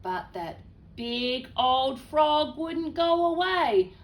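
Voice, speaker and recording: A woman reading a children's story aloud in an expressive way, with chatty, exclaiming asides to young listeners. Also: sits high, extremely slow.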